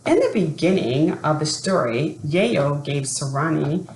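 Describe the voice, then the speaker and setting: A woman speaking deliberately and expressively as an educator doing a children's read-aloud for families. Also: low voice, lively intonation. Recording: echoey room with faint background noise.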